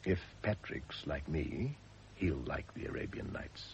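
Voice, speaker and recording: Radio play, male gentle doomed husband, reflective and literary, deep register, slightly noisy old recording. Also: animated, a little slow, vocal fry, heavily acted. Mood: wistful, tender. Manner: literary, reflective, tender, with whispered drops. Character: condemned husband, gentle, reflective, calmly facing death. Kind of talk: classic radio drama acting.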